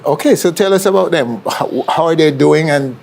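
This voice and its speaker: A Jamaican man works as an on-camera interviewer. His voice sits a little high for a man and has a heavy creak and gravel to it. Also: animated, moderate pace, a little mellow, slight background noise. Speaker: interviewer, show host.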